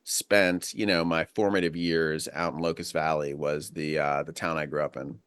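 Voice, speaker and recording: A man's voice: rumbling low, level tone, normal speed, fairly bright tone, clear, resonant tone, no echo. A man from Long Island hosting a podcast, reflective in his intro and hesitant, often stammering, in casual questions. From Long Island, New York. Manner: reflective, hesitant, stammers when talking about himself. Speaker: podcast host. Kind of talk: scripted intro and casual interview.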